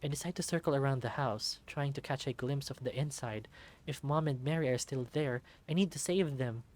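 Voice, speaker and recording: A man reading a visual novel aloud as narrator, in an expressive, dramatic storybook style. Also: mid-range voice, fairly quick.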